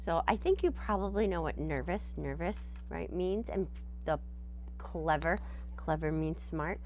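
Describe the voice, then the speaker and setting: Woman teacher, storybook read-aloud; patient and explanatory, unhurried, expressive pitch. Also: a little hiss or hum. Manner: patient, explanatory, checks with "right?". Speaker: teacher reading aloud to children.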